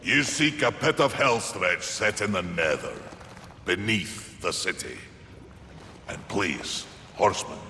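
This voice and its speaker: A man playing a gruff, patient giant maker who guards humanity in a video game, solemn and wry. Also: deep voice, animated, pushed and bright, full character voice. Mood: measured, wry. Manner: solemn, measured, wryly amused. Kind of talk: video game cutscene acting. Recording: echoey hall, faint background noise.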